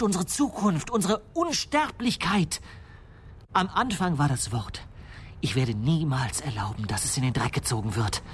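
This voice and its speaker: An actor playing an obsessed, bitter idealist confessing to murder in a radio play, with an intense, fervent delivery. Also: male voice, fairly high pitch, background noise, natural voice. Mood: fanatical fervour. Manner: intense, fervent, swinging from hushed to raised voice. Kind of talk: 1990s German radio play acting.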